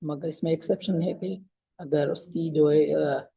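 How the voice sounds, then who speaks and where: A man giving an informal explanatory lecture, haltingly and with repetition, mixing English legal terms into his speech. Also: fairly high pitch, steady tone, creaky, mellow, breathy edge, dull audio. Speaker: law lecturer.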